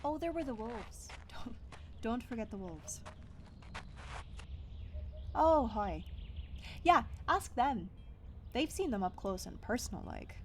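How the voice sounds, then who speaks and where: A sardonic, stubborn young troublemaker, played by a woman in a fantasy audio drama. Her voice is on the high side, with a wry, casual edge. Light outdoor ambience runs underneath. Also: very animated, takes it slowly, pauses often, a little hiss or hum. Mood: wry, teasing. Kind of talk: fantasy audio roleplay acting.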